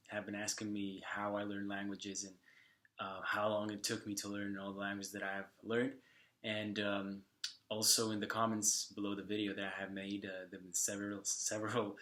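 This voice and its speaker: A young man whose first language is Slovak talks casually to his viewers in a slightly low voice. He pauses often, restarts his sentences and fills the gaps with "um" and "uh". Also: fairly bright tone. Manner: halting, with many fillers and restarts. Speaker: vlogger. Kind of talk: casual talk to camera.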